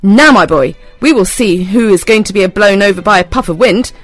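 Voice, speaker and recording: A woman voicing a gruff, stern male pirate captain who bellows his orders in a storybook reading. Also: normal speed, no echo, soft background music, close to an everyday voice. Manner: booming, commanding.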